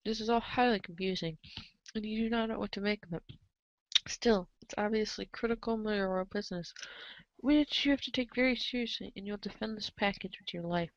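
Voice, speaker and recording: Woman narrating a story script, medium pitch, dry kinda lofi mic. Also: brisk, dry recording. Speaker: narrator in a readers' theater show. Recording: dull lo-fi microphone sound.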